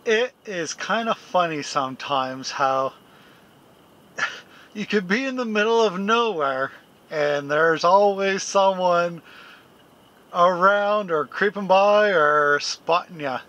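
A man in his forties with a fairly high, bright voice talks slowly and easily to his camera during a winter camp. He chuckles often and rambles with lots of fillers, speaking close to the lens, first outdoors in the snow and then inside a small tent. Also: animated, highs cut off. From Canada. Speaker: camping vlogger. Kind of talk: casual vlog chat to camera. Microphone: handheld camera close up, then fixed camera a few feet away.

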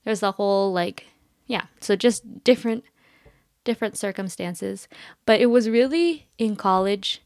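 Young woman guest, animated and chatty, laughs often, dry room sound. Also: mid-range voice, unhurried, no echo. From United States. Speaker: podcast guest. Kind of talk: casual conversation.

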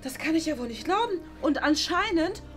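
Appalled supernatural mother played by a woman, extremely high register, melodramatic and aghast, fan-film performance. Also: lively intonation, moderate pace, fairly bright tone, faint music behind. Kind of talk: improvised fan-film acting.

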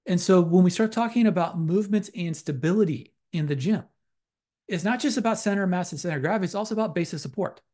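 A man's voice: sits high, quick pace, mellow. A man giving a methodical, academic slide lecture on exercise science, restating terms as he goes. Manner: methodical, repetitive academic phrasing.

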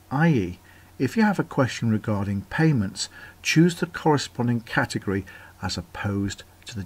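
A man's voice: on the low side, darkish tone, breathy edge. A man reading scripted company announcements for a webinar as a voice-over over slides, in an upbeat promotional manner.

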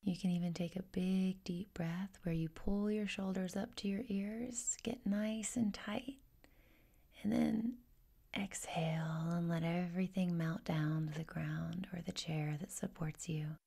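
A woman leading a guided meditation in a gentle, soothing, unhurried way, with a breathy, whispery, husky voice. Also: lowish voice, steady tone. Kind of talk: guided meditation, soft instruction.

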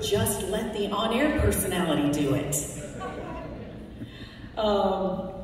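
A woman radio host speaks at a podium, heartfelt with wry asides as she thanks people. Her voice sits at an ordinary pitch and is heard from far off through the audience. A long, booming reverb fills the big banquet hall, with faint room ambience beneath. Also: darkish tone, a little hiss or hum. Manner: heartfelt, with wry jokes and lists. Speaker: radio host accepting an award. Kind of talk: acceptance speech. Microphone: podium microphone, recorded from the audience. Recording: echoey hall recorded from far off.

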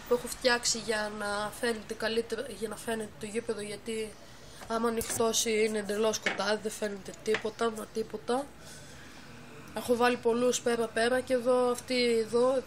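Μια γυναίκα ξεναγεί χαλαρά σε μια κατασκευή παιχνιδιού, μιλά διστακτικά, διορθώνει τον εαυτό της και εξηγεί βήμα βήμα. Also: female voice, fairly high pitch. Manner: halting, self-correcting, explains step by step. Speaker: game builder giving a tour. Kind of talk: casual tour of a game build. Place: dim room at home, by a computer. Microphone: phone camera filming the monitor. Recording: noisy home phone recording.

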